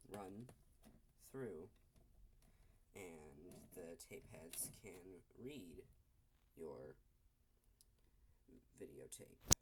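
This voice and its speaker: A man giving an informal explanation as a presenter, speaking haltingly in short broken phrases with pauses. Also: lowish voice, background noise. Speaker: video presenter explaining technology. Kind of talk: unscripted explainer, demonstrating to camera.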